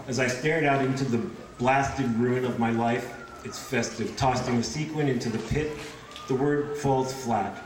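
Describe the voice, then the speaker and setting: A man reading poems aloud at a live event, with informal asides between them. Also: medium pitch. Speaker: poetry reader. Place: indoor bookshop event in front of audience. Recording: echoey, noisy room, distant microphone.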